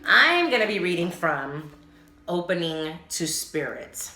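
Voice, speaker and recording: Female podcast host, lowish voice, casual and emphatic, slangy and sweary, music behind her. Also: sing-song, a little slow, bright, forceful tone. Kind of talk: casual solo podcast talk. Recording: roomy echo, music behind the voice.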